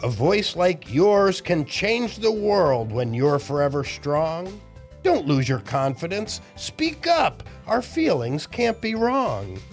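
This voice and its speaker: A man's voice: a little high, very animated, slow, faint music behind, heavily acted. A man voicing a kind, wise young schoolchild in a storybook, speaking encouraging rhymes earnestly. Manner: earnest, encouraging, rhyming speech.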